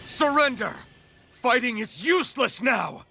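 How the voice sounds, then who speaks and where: An actor playing an earnest, resolute young hero and leader in a video game, serious and determined. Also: male voice, very high voice, lively intonation, a little slow, vocal fry, pushed and bright, exaggerated voice. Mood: commanding. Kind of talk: dramatic video game acting. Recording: music behind.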